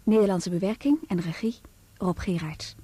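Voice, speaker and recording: A woman announcer formally reading the closing credits of a radio play in a measured way. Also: medium pitch, dark tone, breathy edge, no room sound.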